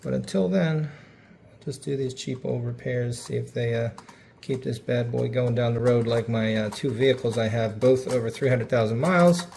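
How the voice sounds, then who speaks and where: Gravelly man narrating a casual repair. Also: medium pitch, slight background noise. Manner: folksy, informal phrasing. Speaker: host of a DIY repair video.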